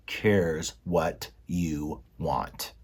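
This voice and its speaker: A man's voice: extremely low pitch, steady tone, very slow, darkish tone, breathy edge, voice pushed down, heavily acted. A man voicing a cruel, scheming male rat villain who speaks in a sneering, haughty, coldly mocking way.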